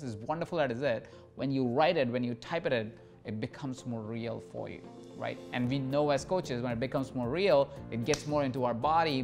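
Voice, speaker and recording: A man hosting a coaching masterclass, speaking in a motivational teaching way, encouraging and repetitive, prompting listeners to reflect. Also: a little high.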